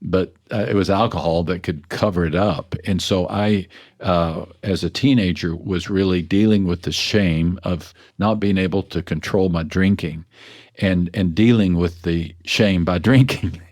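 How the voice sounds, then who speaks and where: A man with a low, deep voice, talking as a pastor in an interview. He tells his story in an earnest, self-deprecating way, restarting his sentences as he thinks. The feel is relaxed, reflective conversation. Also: a little slow. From West Texas. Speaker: pastor and author, interview guest.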